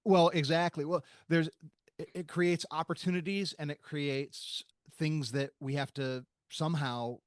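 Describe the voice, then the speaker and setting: A man's voice: fairly high pitch, steady tone, dry recording. Thoughtful male podcast host, dry close sound. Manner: thoughtful, halting, with many restarts. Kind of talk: reflective interview conversation.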